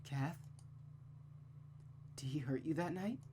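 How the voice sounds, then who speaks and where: Woman as a soft, worried, soothing companion. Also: sits low, slow pace, a little hiss or hum. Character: gentle, worried young female companion soothing her friend. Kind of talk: dramatic visual novel reading.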